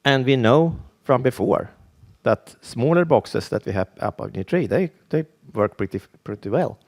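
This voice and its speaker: A Swedish man with a Swedish accent, giving a conference presentation in English as a second language, halting and full of restarts. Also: mid-range voice, lively intonation. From Sweden. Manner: halting, with frequent restarts and fillers. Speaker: conference speaker.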